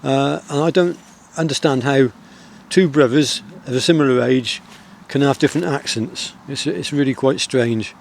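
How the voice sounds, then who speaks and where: A middle-aged man with a Wiltshire country accent, vlogging outdoors in the countryside and reflecting in a soft, rambling way full of pauses. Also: medium pitch, creaky, slight background noise. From England. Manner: soft-spoken, rambling, with many pauses and fillers.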